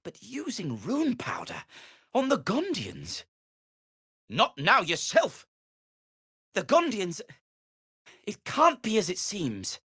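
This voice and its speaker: A man's voice: sits high, huge pitch swings, slow, long pauses, sharp tone, husky, full character voice. A man playing an earnest, principled gnome in a video game, pleading and moralizing in articulate, emphatic phrases. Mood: earnest concern. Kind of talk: dramatic video game dialogue acting.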